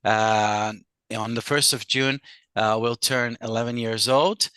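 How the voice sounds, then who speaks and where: Guest lawyer, middle-aged man, slight Scottish accent, smooth bright tone, measured with many pauses. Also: mid-range voice, steady tone, no room sound. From Brazil. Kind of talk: casual interview talk.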